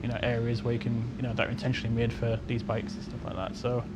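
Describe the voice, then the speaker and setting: A man's voice: medium pitch, monotone, fast, over loud music. A man speaking as a game developer on a panel, giving casual technical explanations with many hedges. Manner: hedging, frequent "you know".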